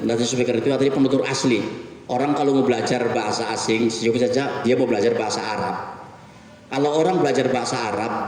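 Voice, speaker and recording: A middle-aged man giving a weekly mosque sermon in a conversational, animated way, asking his listeners rhetorical questions. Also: mid-range voice, a little hiss or hum. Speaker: preacher. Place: mosque hall. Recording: echoey mosque hall from far off.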